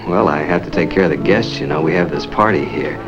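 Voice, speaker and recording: A man's voice: a bass voice, fast talker. An actor playing a cheerful, hospitable new father hosting a party in a 1950s drama, polite and eager. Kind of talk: 1950s police drama acting. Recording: dull vintage soundtrack, loud party music.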